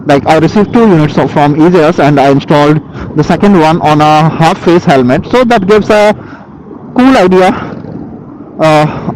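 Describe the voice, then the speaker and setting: A man with an Indian accent giving a casual, halting product review as a motovlogger, speaking into a helmet intercom microphone. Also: a little high. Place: outdoors, riding a motorcycle. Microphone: Bluetooth helmet intercom microphone. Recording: helmet intercom mic with vehicle noise.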